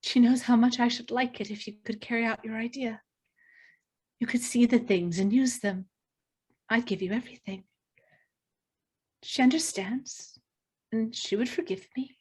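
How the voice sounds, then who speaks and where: A woman's voice: fairly high pitch, fairly even intonation, normal speed, long pauses, darkish tone, breathy edge. A woman playing a shy, nervous niece, hesitant and earnest, in a screenplay table read. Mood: pleading.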